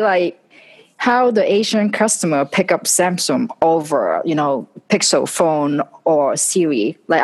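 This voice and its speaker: A woman from Hong Kong co-hosting a tech podcast, speaking carefully with a slightly halting manner. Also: deep voice, husky. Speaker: podcast co-host. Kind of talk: casual tech chat.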